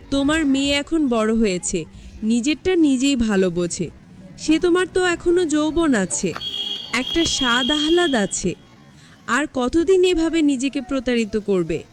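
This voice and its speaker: A woman voicing a pushy, flirtatious bachelor of about thirty in a narrated story, coaxing and insistent. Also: high voice.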